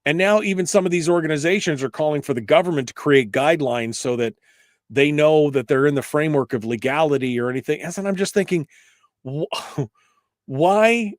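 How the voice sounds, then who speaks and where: A man's voice: a little high, animated, moderate pace, no room sound. Man hosting opinionated talk radio, dry sound. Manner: conversational, emphatic, rambling with rhetorical questions. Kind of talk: opinionated live talk radio monologue with listener calls.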